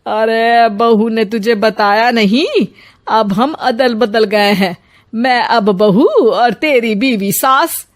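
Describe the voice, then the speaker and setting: A woman's voice: on the high side, slow pace. A woman playing a proud, stubborn older mother-in-law in a family story, chiding and boastful. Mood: self-justifying.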